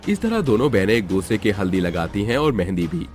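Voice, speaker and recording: Low-voiced man narrating a story over music. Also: very animated, a little fast, darkish tone. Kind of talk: scripted Hindi story narration. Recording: music behind the voice. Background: background music.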